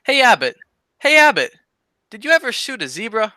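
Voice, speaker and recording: A woman's voice: a little high, very animated, moderate pace, bright, forceful tone, dry recording, studio quality. A woman playing a dim but cheeky male sidekick in an old-time radio comedy, delivering wisecracks with childlike innocence. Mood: innocent, cheeky.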